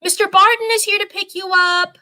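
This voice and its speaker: A woman's voice: extremely high pitch, steady tone, fairly quick, bright, forceful tone, pitched above the performer's own voice, exaggerated voice. A woman voicing a worried, practical mother in a story, concerned and giving instructions. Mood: calling out.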